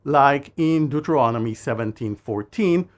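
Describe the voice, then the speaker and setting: A man who speaks natively, narrating a scripted language lesson in a measured way and slowing down for phrases. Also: mid-range voice, expressive. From Israel. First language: Hebrew. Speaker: language teacher.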